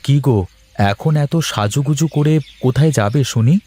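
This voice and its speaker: A man's voice: medium pitch, moderate pace, mellow, lightly acted. A man voicing a weary, worried but proud working husband in a cartoon, with a homely, complaining delivery. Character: weary, worried married office worker, proud and plain-spoken. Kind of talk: Bengali cartoon voice acting.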